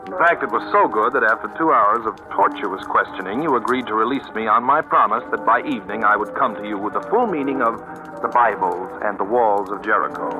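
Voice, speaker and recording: A man's voice: on the low side, a little fast, lo-fi sound, close to an everyday voice. A man acting a wry, jaunty sleuth in a 1940s radio thriller, with a sardonic, dryly formal, wordy delivery. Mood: smug, sardonic. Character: wry, jaunty male sleuth narrating his own story. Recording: vintage radio drama, music behind.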